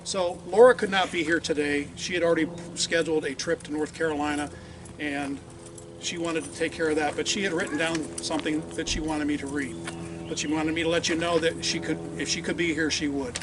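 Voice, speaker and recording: A man with a loud voice giving an earnest tribute speech outdoors. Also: fairly high pitch, steady tone, a little fast, noisy background. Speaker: club member giving a tribute. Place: outdoors at a radio club site.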